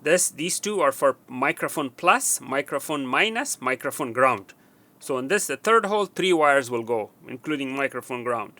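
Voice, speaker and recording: A man with a bright, ringing voice walks through a wiring job at an indoor workbench. He speaks haltingly, with fillers and restarts, and counts pins aloud as he points to them. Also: medium pitch, expressive. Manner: halting, with frequent fillers and restarts while working. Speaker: technician demonstrating wiring. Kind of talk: hands-on instructional walkthrough.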